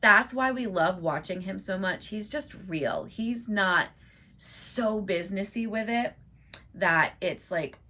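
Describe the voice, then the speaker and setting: A woman vlogger chatting casually and upbeat to her viewers, her voice a bit hoarse from losing it. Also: mid-range voice, expressive, slow pace, bright, forceful tone. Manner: chatty and upbeat, many fillers like "like". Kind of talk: casual chat to camera.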